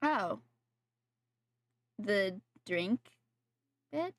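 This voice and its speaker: A woman's voice: medium pitch, lively intonation, very slow, no room sound. A young adult woman streaming a game, chatting casually with viewers in a slightly nasal voice from a sore throat, rambling and correcting herself. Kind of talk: casual live-stream chat while gaming.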